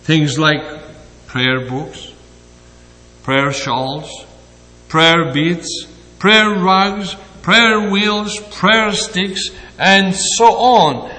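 An older man with a Greek accent preaches a sermon in a fairly high voice, pausing often and hesitating as he goes. His words come slowly and deliberately. The sound has a long, hall-like reverb around it. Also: bright, forceful tone. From Greece. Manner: slow and deliberate, with frequent hesitations.

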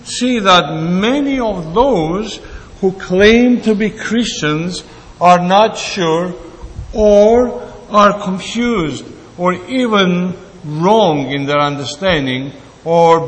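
A man preaching a sermon in a slow, deliberate way, with pauses and fillers, in a church. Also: high-pitched. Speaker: pastor. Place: church sanctuary. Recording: church hall reverb.

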